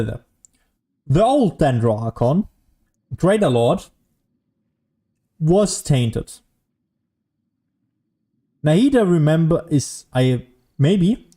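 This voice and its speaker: Mellow-voiced man rambling over gameplay. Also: mid-range voice. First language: German. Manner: rambling, self-deprecating joking. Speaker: video game streamer. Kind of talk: casual gameplay commentary.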